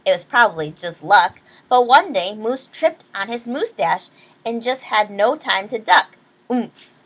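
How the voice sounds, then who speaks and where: A woman reading a children's picture book aloud expressively, pausing to explain things to young listeners. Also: fairly high pitch, expressive, a bit bright. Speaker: read-aloud storyteller.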